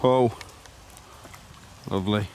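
A man vlogging a fishing trip outdoors by a lake, chatting excitably and bantering with his brother. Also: fairly low pitch, takes it slowly, a little hiss or hum. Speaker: angler vlogger. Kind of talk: casual chat.

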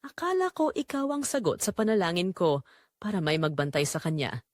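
A woman playing a hurt, restrained wife in an audio drama, quiet and reproachful. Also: on the low side, very animated, brisk, no room sound, studio quality. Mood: wounded restraint. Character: hurt, restrained wife confronting her husband and caregiver.

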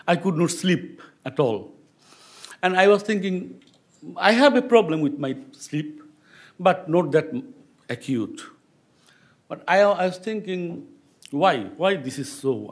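Slow, halting older man at a lectern. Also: a little high. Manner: slow, halting, with repeated restarts. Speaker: visiting writer on a panel. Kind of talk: reflective personal speech. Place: public library meeting room. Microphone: lectern microphone.

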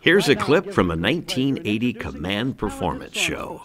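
A man's voice: fairly low pitch, huge pitch swings, normal speed, a crowd in the background. A man reading scripted narration for a documentary.

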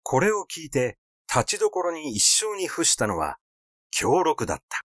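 A man reading a literary story aloud as a scripted narration, measured and expressive in his delivery. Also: on the high side, no echo, studio quality. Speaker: audiobook reader.

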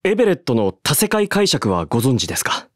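An actor playing a calm, deadpan male bartender who cracks absurd jokes and explains theories at length, in a voice drama. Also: medium pitch, a little fast, no echo, studio quality. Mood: dry. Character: calm deadpan male bar master, joking.

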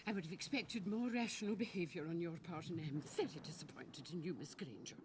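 An older actress playing a stern female school professor who speaks in a crisp, formal and reproachful way, in a film. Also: lowish voice, fast talker, natural voice. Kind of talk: film acting. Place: large stone-walled school washroom. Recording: film soundtrack with background noise.

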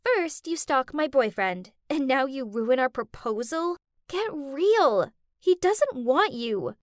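A jealous young college girlfriend in a dramatized story, acted by a woman narrator. She is scornful and taunting, with a high voice and a dry, close sound. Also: sing-song, takes it slowly, no echo, full character voice. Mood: mocking.

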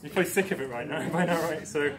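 A man's voice: on the high side, quick pace, a loud crowd. A man from Newcastle giving a conference talk, chatty and self-deprecating, with many ums and restarts. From Newcastle, England. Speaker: conference speaker. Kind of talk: presentation talk. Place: darkened lecture room. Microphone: far camera. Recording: echoey room with background noise.

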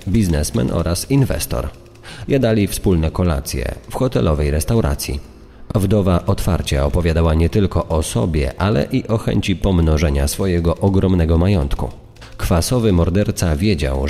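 A man's voice: deep voice, animated, normal speed, gravelly, mellow. A man reading scripted true-crime narration. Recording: long reverb over faint music.

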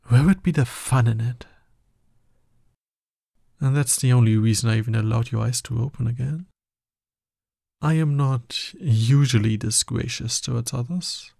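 A man's voice: medium pitch, gravelly, mellow, no echo, clean studio sound. A man playing a smug, dominant villain in an ASMR roleplay, taunting his hero nemesis with drawling exasperation. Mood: cold, teasing.

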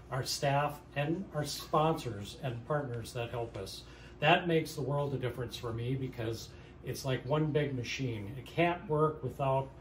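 A middle-aged man who leads a farm aid nonprofit, answering interview questions in an earnest, measured way with frequent pauses. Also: medium pitch, far from the mic, a little hiss or hum. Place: indoor lobby with stone fireplace. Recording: echoey room from off the mic.

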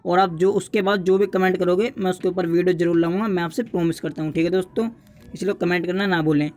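A man's voice: sits high, steady tone, fast. A young man narrating a casual tutorial, repeatedly urging and addressing his viewers as friends. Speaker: tutorial YouTuber.

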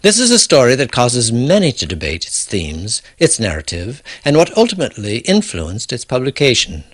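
A man with a husky voice giving scripted storytelling narration to introduce a classic tale, theatrical with deliberate pauses. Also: medium pitch, huge pitch swings. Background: faint music.

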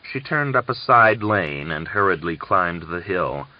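A man narrates a literary novel with expression. His voice sits very low. The audiobook recording sounds somewhat dull and lo-fi. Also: animated, unhurried.

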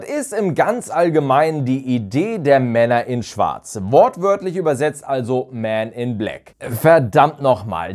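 A man in his thirties presents a review from a room at home. His voice sits a little high and moves with lively melody, as he explains and gives his opinions in a semi-scripted commentary. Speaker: video reviewer, channel host. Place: small furnished room at home, indoors. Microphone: lapel mic.

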